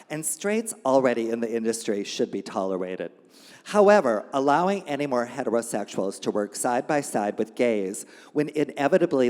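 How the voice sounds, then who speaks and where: A man hosts a live reading event in a reverberant hall. He speaks informally at a middling pitch, moving between loose asides and reading aloud over the venue's microphone. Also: darkish tone. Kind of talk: live event hosting and reading of a satirical editorial. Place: indoor gallery event space. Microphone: handheld event microphone.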